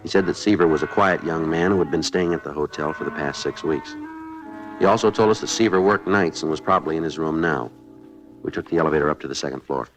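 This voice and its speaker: An American man playing a terse, stoic police detective sergeant who narrates in a clipped, deadpan way, in 1950s radio drama style. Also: rumbling low, fast, soft background music, poor audio. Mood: matter-of-fact narration.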